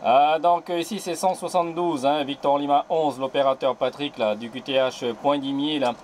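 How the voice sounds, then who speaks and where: A man from New Caledonia answering on a radio link as operator, unhurried and relaxed, dotting his talk with fillers. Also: a little high, slight background noise. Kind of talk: CB radio contact.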